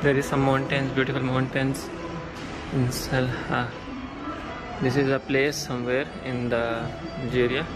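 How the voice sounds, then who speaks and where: Guy vlogging thru a pavilion on his phone, lots of uhs, loud music behind. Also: medium pitch, little pitch movement, low-quality recording. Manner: halting, many uh fillers, non-native phrasing. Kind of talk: casual walk-through vlog. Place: exhibition pavilion, indoors.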